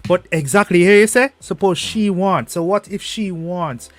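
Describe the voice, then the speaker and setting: A man's voice: high voice, normal speed. A Jamaican man on a panel call, cutting in and arguing, repeating words as he presses on. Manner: cuts in, argumentative, repeats words. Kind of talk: heated panel debate.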